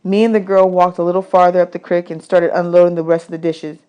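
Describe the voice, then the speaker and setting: A woman reading a children's novel aloud as expressive audiobook narration. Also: on the low side, fast talker, clean tone. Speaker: audiobook reader.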